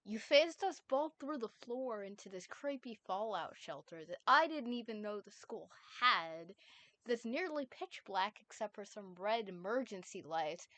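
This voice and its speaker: A woman streamer voicing a frightened, desperate teenage boy who is never believed, with pleading, rushed outbursts. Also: mid-range voice, expressive, fairly bright tone. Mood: frantic. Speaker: streamer reading a fanfic aloud. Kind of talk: dramatic fanfic reading.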